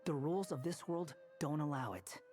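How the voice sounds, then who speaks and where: A man's voice: a little high, moderate pace, darkish tone, soft background music, close to an everyday voice. Man playing an aloof, sceptical young wanderer. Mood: dry, explanatory. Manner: dry, matter-of-fact explaining. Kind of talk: video game character acting.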